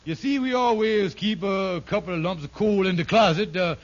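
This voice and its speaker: A man playing a scheming, smooth-talking but bumbling con man in a 1950s radio comedy, stammering and folksy with mangled grammar. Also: sits high, normal speed, natural voice. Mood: nervous bluffing. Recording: noisy, muffled 1950s radio recording.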